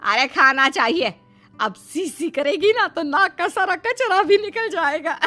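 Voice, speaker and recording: Woman acting a bright, bossy mother-in-law, animated. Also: very high-pitched, a little slow, faint music behind, heavily acted. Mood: hearty, bossy. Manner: effusive and commanding. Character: generous, bossy, loud Indian mother-in-law. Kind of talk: cartoon moral story acting.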